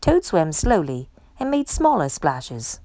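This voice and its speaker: A woman's voice: deep voice, takes it slowly, no echo. A woman reading a children's story aloud expressively, acting out the dialogue as she goes. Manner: animated, acts out character dialogue. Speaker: storytime reader.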